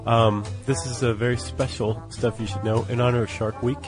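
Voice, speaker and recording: A man's voice: mid-range voice, level tone, brisk, music in the background. An American man hosting a podcast in casual conversation, with wry, playful asides. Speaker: podcast co-host.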